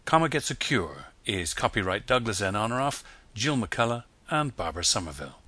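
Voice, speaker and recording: Male announcer, bright and forceful tone, dry close sound, formal brisk read. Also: fairly low pitch, big pitch swings, dry recording. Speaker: archive announcer.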